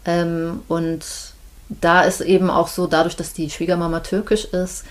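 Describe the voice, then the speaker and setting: A woman from Hamburg, a midwife, talking in a relaxed interview and pausing often to gather her thoughts. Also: fairly low pitch. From Germany, Hamburg. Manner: thoughtful, many hesitations. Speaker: midwife, podcast guest. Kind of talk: casual interview chat.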